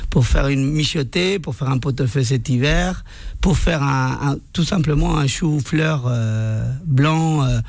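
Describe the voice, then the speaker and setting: A man's voice: medium pitch, measured pace, vocal fry, no room sound. A man with an Argentine accent, a chef being interviewed on the radio, who hesitates and searches for his words. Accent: Argentine Spanish. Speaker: chef and restaurant owner.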